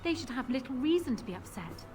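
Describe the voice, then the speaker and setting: A woman's voice: high voice, sing-song, fast, a crowd in the background. A woman playing a proud, calculating young queen in a fantasy video game, speaking formally and with assertive composure. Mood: measured, suspicious. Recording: game audio with clear background noise.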